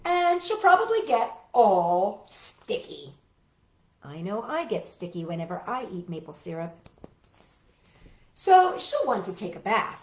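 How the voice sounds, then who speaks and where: Female, children's read-aloud, very animated intonation, chatty asides, exclaiming, dull lo-fi recording. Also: mid-range voice, measured pace. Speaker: children's storytime host. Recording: roomy, dull lo-fi sound, faint music.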